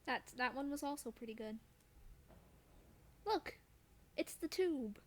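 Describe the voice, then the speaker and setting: Playful higher-voiced woman streamer over background noise. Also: lively intonation, takes it slowly. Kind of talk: casual chat while playing a video game on a stream.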